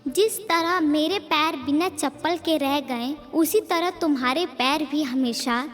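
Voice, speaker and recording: Wandering holy man, played by a woman, formal and humble, high voice, long reverb, cartoon moral tale. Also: slow, a bit bright. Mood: indignant. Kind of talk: Hindi cartoon story dubbing. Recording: long reverb, music behind.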